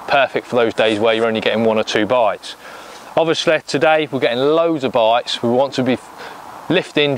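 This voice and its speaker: An English man in his thirties talks through fishing tips step by step in a practical, explanatory way. His voice sits in a middle pitch. He is recorded outdoors by a lake on a clip-on mic, with a faint hum of noise behind him. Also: poor audio. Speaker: angling coach. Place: lakeside bank outdoors.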